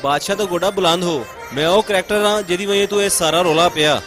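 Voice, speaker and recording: A man's voice: sits high, fast talker, lightly acted. A man voicing a loyal, apologetic friend in a comic cartoon dub, with a Punjabi accent. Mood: earnest. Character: loyal, apologetic friend who caused the trouble. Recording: music behind the voice. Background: music.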